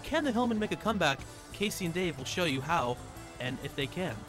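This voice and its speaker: A man's voice: fairly high pitch, big pitch swings, brisk. A man hosting a sports score update from a radio studio. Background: music.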